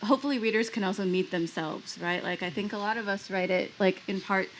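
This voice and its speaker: A woman's voice: mid-range voice, brisk, a little hiss or hum, rough recording. Casual, thoughtful woman from the US. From United States. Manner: casual, thoughtful, many "like" fillers. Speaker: panelist author. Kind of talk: conversational panel discussion. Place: live panel event.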